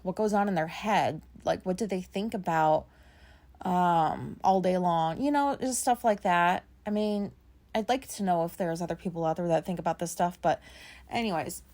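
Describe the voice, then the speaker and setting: A woman in her thirties with a raspy voice, talking casually and rambling on her solo podcast, with many fillers and some swearing. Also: fairly low pitch, moderate pace, a little mellow. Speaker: podcast host.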